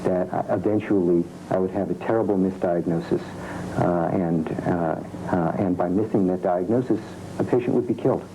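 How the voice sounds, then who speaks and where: A man's voice: low-pitched, moderate pace, background noise. A middle-aged man, a doctor, explaining and answering questions in an interview. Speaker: dermatologist, department chairman.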